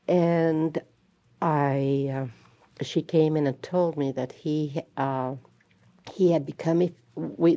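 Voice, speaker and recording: A woman schoolteacher recounting her classroom memories in an interview, speaking in a halting, reflective way. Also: rumbling low, warm tone. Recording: dull lo-fi recording.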